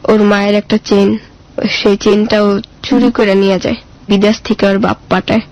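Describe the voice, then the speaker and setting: A woman's voice: mid-range voice, level tone, normal speed, darkish tone, highs cut off. A woman with a colloquial Bangladeshi Bengali accent tells her life story as a guest, plain-spoken and casual, acting out remembered dialogue as she goes. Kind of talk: personal storytelling in casual speech.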